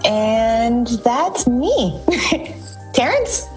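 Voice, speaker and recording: A woman's voice: fairly high pitch, big pitch swings, drawn-out words, faint music behind. A woman, a freelance graphic designer, talking in a bubbly, upbeat way in a casual group chat.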